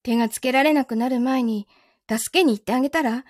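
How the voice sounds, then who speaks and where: A woman acting in a Japanese voice drama as a calm, understanding, quietly lonely girlfriend, speaking gently with a Kansai accent. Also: high voice, fairly quick, dry recording, studio quality. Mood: understanding, reassuring. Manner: composed, gentle, reassuring.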